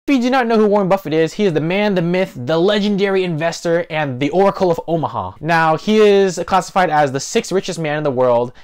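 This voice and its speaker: A man in his twenties talking casually to camera as host of an explainer video, stressing key words, recorded indoors on a lapel mic. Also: a little high. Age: 20s. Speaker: host of an investing video channel. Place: small living room, indoors.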